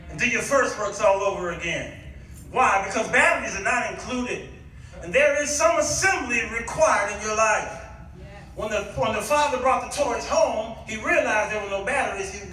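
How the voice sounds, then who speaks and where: An American man preaching in an animated, folksy storytelling style to a congregation. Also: high-pitched, normal speed, slight background noise. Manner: animated, folksy, acting out exchanges; rhetorical questions to the congregation. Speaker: guest preacher. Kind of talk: storytelling sermon. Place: church, before a congregation. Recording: echoey hall, picked up from far off.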